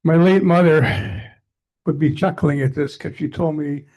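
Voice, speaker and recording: A man's voice: a little high, measured pace, darkish tone. An older Canadian man giving a chatty, digressive talk to a society meeting, his voice scratchy from allergies. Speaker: guest speaker.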